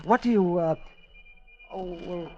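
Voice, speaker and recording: An actor playing a middle-aged, henpecked schoolteacher and amateur scientist, nervous and eager, in an old radio drama. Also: male voice, sits high, a little slow, dark tone, highs cut off. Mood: eager, deferential. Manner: flustered, stammering, deferential. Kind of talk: 1940s-50s radio drama acting. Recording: noisy, crackly vintage radio recording.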